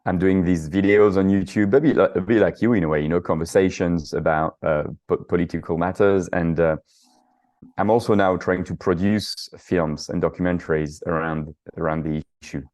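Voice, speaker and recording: A middle-aged Frenchman with a French accent speaks in a very low, deep voice. He answers as an interview guest, hesitant and full of fillers as he restarts his sentences. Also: steady tone, normal speed, a little mellow. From south of France. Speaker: guest, author and activist.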